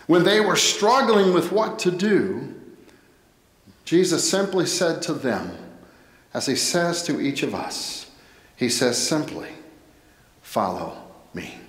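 Middle-aged American male preacher, slightly high-pitched, deliberate phrasing, recorded in a reverberant church. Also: lively intonation. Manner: deliberate, short phrases with pauses. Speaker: pastor. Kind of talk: sermon. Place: indoor church, at a pulpit. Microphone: headset microphone. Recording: echoey church with long reverb.

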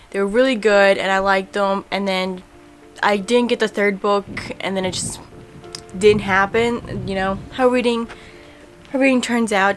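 Chatty young woman vlogging about books. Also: medium pitch. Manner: chatty and relaxed, many fillers. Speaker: book vlogger. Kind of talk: casual book tag vlog. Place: home room in front of bookshelves.

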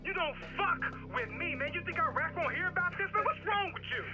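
A man's voice: extremely high pitch, expressive, fairly quick. A man playing a jumpy, aggressive young street gang member in a video game, swearing in street slang. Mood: confrontational. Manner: cursing, agitated street-slang talk. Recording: music behind the voice.

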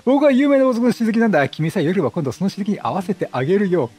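Man doing a smug flirting nobleman, animated. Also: sits high, rapid-fire, slightly rough, pitched above the performer's own voice, full character voice. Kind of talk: reading game dialogue aloud in character.

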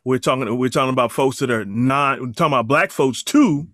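A man's voice: medium pitch, fairly bright tone, dry recording, clean studio sound. A man co-hosting a casual podcast, easygoing, with frequent restarts and chuckles. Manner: easygoing, halting restarts, laughs often. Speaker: podcast co-host.

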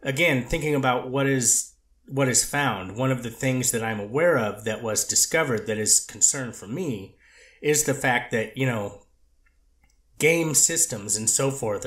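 A man reading the part of a treatment counselor testifying in court, hedging and halting with many restarts. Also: medium pitch, a bit bright, reverberant, lightly acted. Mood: measured. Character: earnest male counselor on the witness stand. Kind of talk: amateur readers theater reading of a court transcript.

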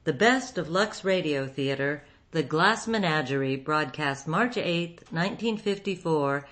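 A woman's voice: deep voice, expressive, unhurried, fairly bright tone. A woman reading a scripted introduction as host of a radio program.

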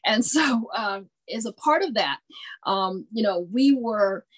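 Husky-voiced woman telling a story on a video call. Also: mid-range voice, expressive, slow pace, poor audio. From American South. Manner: warm storytelling with many fillers. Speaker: panelist. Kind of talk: panel discussion on video call. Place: home room with bookshelves. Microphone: video call webcam.

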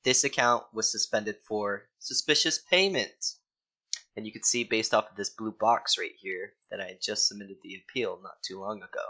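Young male consultant, bright and forward tone, talks loosely with fillers while recording his screen at a desk. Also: fairly low pitch, normal speed, low-quality recording. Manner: casual, frequent ums, mildly exasperated. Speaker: ads account consultant.

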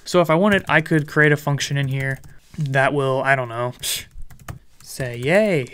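A young man explaining programming in a relaxed, friendly way over a screen recording, with light jokes. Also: on the high side, clean tone. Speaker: programming instructor. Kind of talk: screen-recorded coding tutorial.

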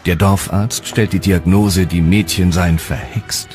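A man with a deep, low voice narrates a historical documentary. His delivery is scripted and dramatic, with music clearly playing underneath. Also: steady tone, a little slow, a little mellow.

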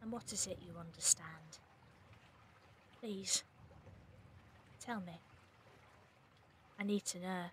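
A woman's voice: fairly low pitch, slow, pauses often, fairly bright tone, a little hiss or hum. An actress in an audio drama playing a shrewd, confrontational woman who probes and commands. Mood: insistent. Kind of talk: fan audio drama acting.

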